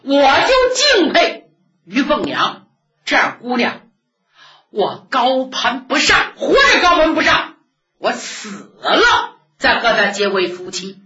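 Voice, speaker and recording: An earnest young man, humble and devoted, as a woman storyteller plays him, with a bright voice. He speaks slowly and pleadingly. The recording is dull and lo-fi. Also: sits high, huge pitch swings, pitched above the performer's own voice, full character voice.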